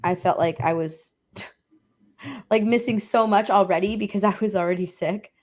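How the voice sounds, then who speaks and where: A woman from Texas, a guest on a painting stream, chatting in a relaxed, rambling way, her voice still slightly hoarse. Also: mid-range voice, unhurried. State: slightly hoarse after illness. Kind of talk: casual chat.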